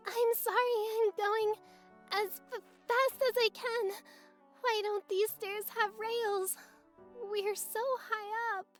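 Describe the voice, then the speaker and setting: A woman's voice: very high-pitched, fairly even intonation, takes it slowly, faint music behind, voice pushed up. A woman voicing a small, sensitive, apologetic crybaby girl who speaks in a hesitant, anxious way, in a visual novel reading. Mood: anxious, tired.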